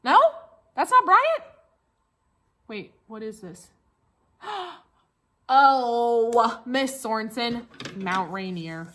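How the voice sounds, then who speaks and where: An American woman leads a lesson for young children in a bright, very animated voice. She takes her time, repeats key words simply and plays up questions with exaggerated wonder. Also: on the high side, drawn-out words, long pauses. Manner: simple explanations with repetition. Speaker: elementary school teacher. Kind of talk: read-along tracing lesson for young children.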